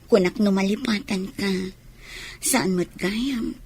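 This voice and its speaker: A woman's voice: mid-range voice, slow pace, darkish tone, slight background noise, lightly acted. A woman with an Ilocano accent playing a scheming woman in a radio drama. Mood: pleading. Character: scheming woman plotting against a rival.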